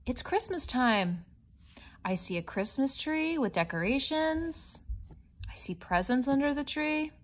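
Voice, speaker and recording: Slow-paced, higher-voiced woman reading to children. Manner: simple, clearly segmented teacherly explaining for young children; expressive, with gasps and stretched words. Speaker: storybook read-aloud presenter. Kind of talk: children's read-aloud with picture narration.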